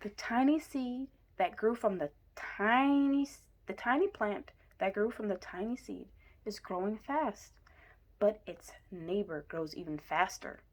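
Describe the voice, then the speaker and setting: A woman reading a children's picture book aloud in an expressive way, with deliberate emphasis. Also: mid-range voice. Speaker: story reader.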